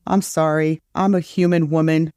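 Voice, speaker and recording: A woman's voice: sits low, level tone, moderate pace, mellow, smooth, no room sound, clean studio sound. A woman co-hosting a podcast, chatting casually and jokingly with playful, agreeable reactions. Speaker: podcast co-host.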